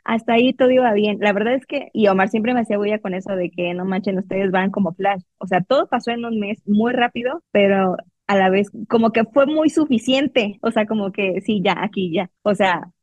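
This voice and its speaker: A woman's voice: mid-range voice, fairly quick, no echo. A woman telling a personal story in a casual, chatty way, often quoting herself. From Mexico. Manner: chatty, laughing, quotes herself and others. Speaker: guest telling her love story.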